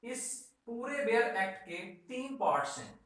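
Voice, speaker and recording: A man's voice: very high voice, big pitch swings, measured pace, fairly bright tone. A man with a Pakistani accent giving an instructional law lecture, emphatic, repeating key instructions and mixing in English terms. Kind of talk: instructional lecture for exam students. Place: echoey room with a whiteboard. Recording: very echoey room, distant mic.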